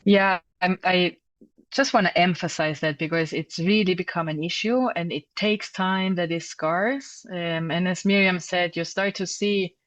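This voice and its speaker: A woman's voice: lowish voice, fairly even intonation, measured pace, no echo. Hesitant, thoughtful woman editor in an interview; dull lo-fi, dry sound. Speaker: journal editor. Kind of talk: conversational interview answers.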